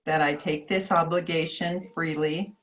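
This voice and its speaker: A woman's voice: sits low, steady tone, measured pace, a bit bright, slight background noise, rough recording. A woman on a video call repeating her oath of office carefully as a new board member. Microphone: home microphone on a video call.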